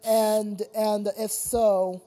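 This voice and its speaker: Casual livestream host, medium-pitched voice, halting and repetitive delivery, talking directly to chat. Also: level tone, dark tone. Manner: halting, repetitive, addresses viewers as sir.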